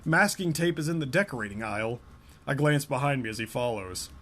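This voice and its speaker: Guy mock-reading a romance novel, deadpan, voice jumps around a lot. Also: mid-range voice, fairly quick. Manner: reads deadpan, breaks into laughter and asides. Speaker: podcast co-host reading a novel aloud. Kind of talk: mocking dramatic reading.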